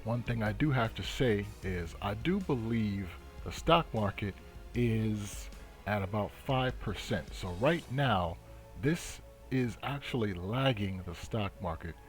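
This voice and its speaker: A man hosting a trading channel, giving unscripted market commentary in a halting, deliberate way. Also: fairly low pitch, expressive, soft background music.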